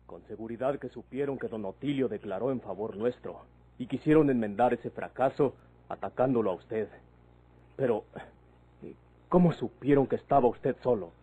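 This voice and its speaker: A man's voice: mid-range voice, moderate pace, slightly rough, narrow, tinny audio, close to an everyday voice. An actor playing an earnest, suspicious young man with a Mexican accent in a vintage radio drama, speaking politely and formally as he questions. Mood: reasoning.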